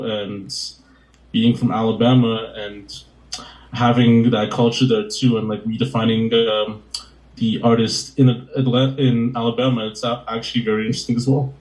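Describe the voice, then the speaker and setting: Casual halting young male interviewer. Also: on the low side, little pitch movement, darkish tone, roomy sound, rough recording. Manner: casual, halting, heavy use of "like" and fillers.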